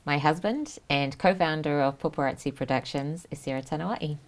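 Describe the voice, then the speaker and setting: A woman's voice: low voice, normal speed. A woman with a New Zealand accent hosting a studio chat show, telling her family story in a reflective way with many fillers. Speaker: host. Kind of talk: casual interview chat.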